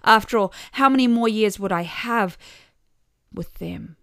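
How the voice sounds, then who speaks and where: A woman reading a scripted first-person short story with wry expression, letting some phrase endings drop softly. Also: mid-range voice, a little slow, vocal fry, no room sound, clean studio sound.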